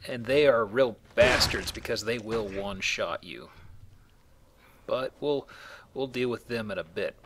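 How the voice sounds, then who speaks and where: A man's voice: lowish voice, unhurried, noisy background. A man hosting a let's play with casual, explanatory game commentary and joking asides.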